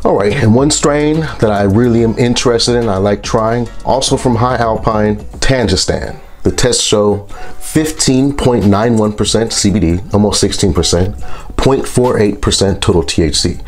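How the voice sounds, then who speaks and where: A man with a congested, stuffy voice from a sinus infection, reviewing products in a relaxed, rambling vlog. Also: lowish voice, normal speed, soft background music. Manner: relaxed, rambling, with frequent fillers.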